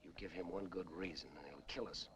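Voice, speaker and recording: An actor playing a cautious, tense hostage who reasons tersely in a 1950s crime film. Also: male voice, low-pitched, a little fast, natural voice. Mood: guarded, urgent. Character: cautious, level-headed male hostage, tense. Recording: noisy old film soundtrack, engine drone.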